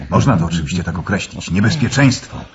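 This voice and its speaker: An actor playing an earnest, brooding young gentleman in a radio drama, telling things in a measured, ominous way. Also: low voice, very animated, highs cut off, a little hiss or hum.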